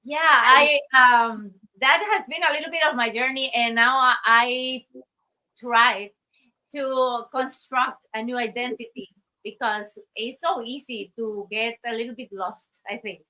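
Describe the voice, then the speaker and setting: A bright, fairly high-voiced woman from Costa Rica, speaking in an interview. She talks thoughtfully, pausing often and restarting her sentences as she searches for words. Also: steady tone, low-quality recording. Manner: thoughtful, hesitant with many fillers and restarts. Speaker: guest artist. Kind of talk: interview conversation.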